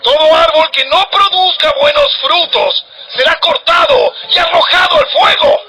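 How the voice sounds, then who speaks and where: A man's voice: very high voice, big pitch swings, normal speed, over music, rough recording. A man playing a stern, urgent desert prophet in an audio Bible drama, preaching in a thundering, declamatory way. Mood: fierce, warning.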